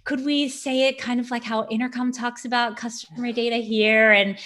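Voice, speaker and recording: A woman's voice: fairly high pitch, steady tone, moderate pace, fairly bright tone. A woman hosting a podcast in a casual, chatty interview style, affirming and informal, often stacking several questions together.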